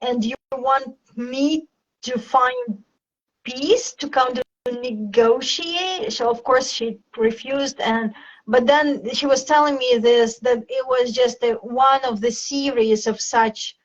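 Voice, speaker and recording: A woman with a Ukrainian accent speaks in a high voice at an unhurried pace. She chats as an interview guest, often pausing to search for words. The sound is dull and lo-fi, like a livestream call. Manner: hesitant, searching for words, anecdotal. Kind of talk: casual conversational interview. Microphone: video call.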